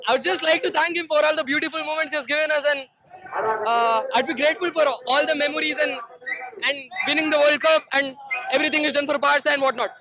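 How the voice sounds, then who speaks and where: A man from Hyderabad answering a reporter fluently in English, with relaxed fillers. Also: extremely high pitch, quick pace, low-quality recording. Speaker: interviewed fan. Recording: busy crowd behind. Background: crowd noise.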